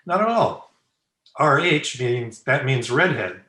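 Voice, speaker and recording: Man playing a bright-voiced, flippant playboy bachelor, roomy. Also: medium pitch, big pitch swings, takes it slowly, full character voice. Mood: smug, teasing. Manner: breezy, self-satisfied. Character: suave, flippant bachelor playboy, breezily flirtatious friend. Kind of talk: old-time radio comedy acting. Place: indoor room, remote video call. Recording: roomy echo on home video-call recording.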